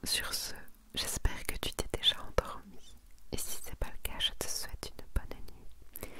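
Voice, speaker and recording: Whispering woman, ASMR host. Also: unhurried. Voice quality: breathy, unvoiced whisper. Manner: soft, intimate, addresses one listener informally. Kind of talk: whispered ASMR, chatting and reading out manga summaries.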